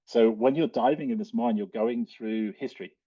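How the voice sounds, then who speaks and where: A man with an Australian accent giving an informal slide presentation in a halting, hesitant way, with frequent ums. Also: mid-range voice, fairly even intonation. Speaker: guest speaker, cave and mine diver. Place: online video call. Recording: dull, lo-fi online call audio.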